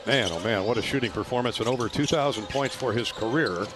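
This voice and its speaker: A man's voice: medium pitch, fast talker, music in the background. A man doing live radio play-by-play of a basketball game in a folksy, colorful way. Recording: loud arena noise behind courtside radio call. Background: ball bounces and gym ambience.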